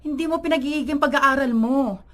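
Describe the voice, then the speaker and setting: A woman's voice: high-pitched, moderate pace, fairly bright tone. A woman playing a middle-aged Filipino mother who is stern but caring, in a radio drama. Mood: scolding.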